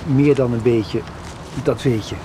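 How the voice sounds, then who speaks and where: An actor in a radio soap playing an earnest, wistful middle-aged factory director from a farm family. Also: male voice, mid-range voice, steady tone, unhurried, noisy background, natural voice. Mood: resigned, tender.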